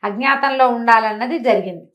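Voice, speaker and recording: A woman's voice: fairly high pitch, a little fast, fairly bright tone. A woman telling a story in a conversational way, posing rhetorical questions as she narrates. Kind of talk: animated oral storytelling in a talk show.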